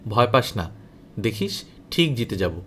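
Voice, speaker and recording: A man's voice: fairly low pitch, big pitch swings, unhurried, a bit bright, a little hiss or hum. A man voicing a confident, bossy young boy who leads his team, in an emphatic storybook reading. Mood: reassuring.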